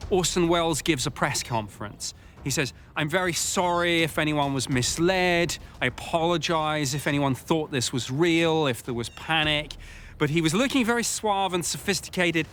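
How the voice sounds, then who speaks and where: A man's voice: a little high, lively intonation, a little slow, a bit bright, a little hiss or hum. A man giving emphatic, dramatic commentary as a storyteller in a documentary interview.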